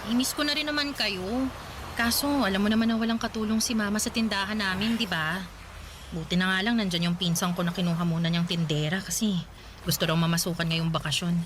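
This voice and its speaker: A woman playing a good-natured young market woman in a radio drama, in a conversational, gently protesting way. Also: mid-range voice, a little fast, noisy background, lightly acted. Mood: friendly. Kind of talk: Tagalog radio drama acting.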